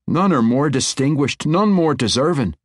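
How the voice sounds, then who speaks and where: A man's voice: on the high side, lively intonation, normal speed, dry recording, clean studio sound, pitched above the performer's own voice. A man doing a young earnest dwarf king. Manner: earnest dwarven brogue, explaining.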